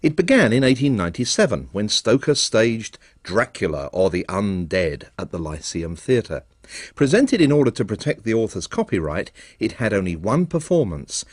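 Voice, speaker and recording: A man presenting a radio arts documentary in measured, scripted narration. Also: on the low side, no room sound, clean studio sound.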